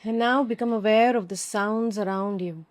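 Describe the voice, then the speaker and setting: A woman teaching yoga nidra, giving calm, soothing guided meditation instructions with long pauses. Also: medium pitch.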